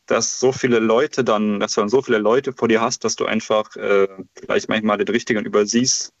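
A man phones in to a radio show and talks haltingly, full of pauses and fillers. His voice is low and gravelly, and the line sounds dull and dry. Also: level tone, no echo. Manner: hesitant, halting, many fillers. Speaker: radio caller. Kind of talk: casual phone-in chat.

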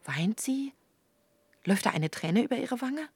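An actress narrating a radio drama as a terse, detached observer, in scripted present tense. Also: female voice, fairly high pitch, lively intonation, unhurried, darkish tone, slightly rough, no echo, exaggerated voice. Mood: observant. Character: detached female observer narrating waiting-room events.

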